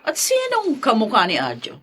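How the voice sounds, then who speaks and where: Haughty, explosive rich matriarch. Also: female voice, on the high side, huge pitch swings, normal speed, fairly bright tone, heavily acted. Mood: outraged. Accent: Filipino. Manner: imperious, scolding, explosive. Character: haughty, short-tempered rich matriarch, scornful mother. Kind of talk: melodramatic radio drama acting.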